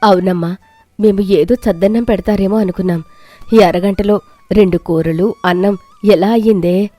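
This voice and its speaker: A woman's voice: mid-range voice, steady tone, normal speed, warm tone, no room sound, faint music behind, lightly acted. A woman voicing a blunt older town mother-in-law. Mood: surprised. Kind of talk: animated storybook narration.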